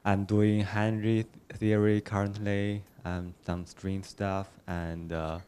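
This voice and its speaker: A man's voice: fairly low pitch, level tone, takes it slowly, darkish tone, smooth. A man introducing himself hesitantly, with many fillers. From China.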